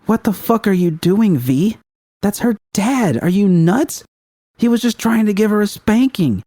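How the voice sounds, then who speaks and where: A man's voice: high voice, lively intonation, measured pace, dark tone, no room sound, studio quality, pitched above the performer's own voice, heavily acted. A man voicing a fiery young woman in an audiobook, urgent and exclaiming. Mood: disbelieving, angry. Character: fiery, frightened young woman, bluntly scolding. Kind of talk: audiobook character acting.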